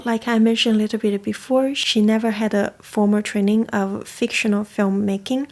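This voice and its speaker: A woman's voice: medium pitch, vocal fry, darkish tone. A young woman from China, talking casually and thoughtfully as the host of a coffee chat. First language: Chinese. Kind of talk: casual reflective talk.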